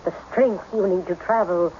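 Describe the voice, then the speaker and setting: An actress playing a mysterious, commanding madame with uncanny powers in an old-time radio drama, coaxing in an insistent, incantatory, deliberate way. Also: female voice, on the low side, dark tone, natural voice. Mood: hypnotic, assured. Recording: muffled, faintly noisy old radio recording.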